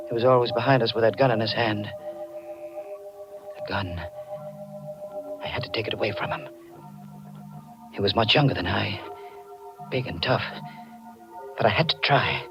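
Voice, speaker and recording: A man's voice: lowish voice, close to an everyday voice. An actor playing an anxious, guilt-ridden man on the run in a tense, dramatic 1940s radio mystery. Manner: tense, dramatic, halting under pressure. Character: anxious, guilt-ridden male fugitive narrating his own nightmare. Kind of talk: 1940s radio mystery acting, first-person narration. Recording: muffled old radio recording, music behind.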